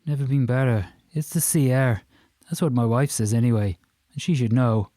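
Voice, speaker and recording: A man's voice: medium pitch, normal speed, warm tone, dry recording, clean studio sound, close to an everyday voice. A man voicing a boastful, chattering Irishman in his fifties with a menacing streak, in an audiobook. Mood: effusive, jovial. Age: 50s. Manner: chattering, effusive, emphatic. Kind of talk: audiobook character dialogue.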